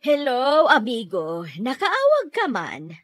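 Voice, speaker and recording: Casting: insistent middle-aged mother, Ilocano, hugely animated, dry close sound, radio comedy. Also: high-pitched, slow pace, dry recording, heavily acted. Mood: coy. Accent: Filipino, Ilocano. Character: theatrical matchmaking mother, insistent and coaxing.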